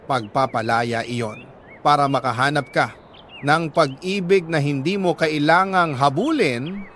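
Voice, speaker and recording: Slow, higher-voiced Filipino man telling a story. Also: fairly bright tone, a little hiss or hum. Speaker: radio-style storyteller reading a listener's letter. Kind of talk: scripted dramatic first-person love story narration.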